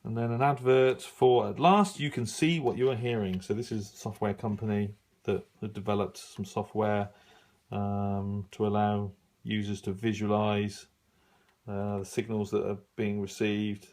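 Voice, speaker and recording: A middle-aged man giving a casual, unscripted review, musing and halting as he thinks aloud while browsing. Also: fairly low pitch, level tone, darkish tone. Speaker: radio hobbyist reviewing an old magazine.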